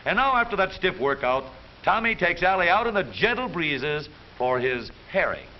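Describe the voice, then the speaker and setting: A man's voice: high-pitched, big pitch swings, normal speed. An American man narrating a 1930s newsreel from a script, with a jaunty, theatrical, wisecracking delivery. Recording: muffled, hissy 1930s newsreel soundtrack.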